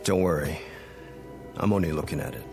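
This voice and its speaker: Kalın sesli, dalgın, gergin genç adam. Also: male voice, very deep voice, brisk, creaky, a little mellow, soft background music. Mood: simmering. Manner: hesitant, brooding, intense. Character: troubled young Japanese American man, quiet, accusing, quick-tempered. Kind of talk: radio drama acting.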